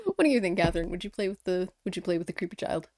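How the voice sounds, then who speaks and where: A woman hosting a paranormal story show, reading listener tales aloud and reacting with animated, rambling, exclamatory asides. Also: lowish voice, very fast, no room sound, studio quality. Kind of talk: chatty commentary and reading listener stories aloud.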